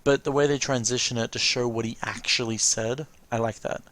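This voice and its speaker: Male host, middle pitch, casual commentary, conversational reactions. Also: fairly even intonation, brisk, fairly bright tone, a little hiss or hum. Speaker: host of a let's watch video.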